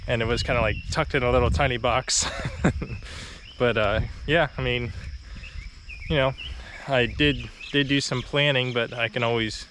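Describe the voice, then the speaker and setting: A man's voice: mid-range voice, normal speed, slight background noise. A young man chatting casually with many fillers as he walks a rural road, a little out of breath, filmed on a camera held at arm's length. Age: young adult. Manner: relaxed and chatty, with many fillers and short laughs. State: slightly out of breath from walking uphill. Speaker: vlogger. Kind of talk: casual walking vlog. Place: outdoors on a quiet rural road, walking. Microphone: phone or action camera held at arm's length.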